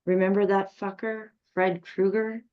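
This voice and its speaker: A woman's voice: medium pitch, little pitch movement, unhurried, close to an everyday voice. A woman playing a grim coroner in a horror script table read, on dull lo-fi audio. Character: grim coroner talking to a police lieutenant.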